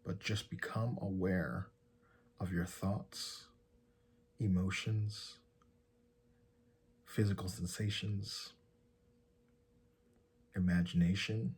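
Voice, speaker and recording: A man leading a guided meditation in a soft, breathy, husky voice, giving calm and gentle instructions with long pauses. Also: low voice, slight background noise.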